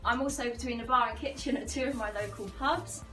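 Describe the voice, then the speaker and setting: An eighteen-year-old woman introducing herself to camera, quick and cheerful. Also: fairly high pitch, bright, forceful tone, reverberant, far from the mic, soft background music. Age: teens. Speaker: contestant.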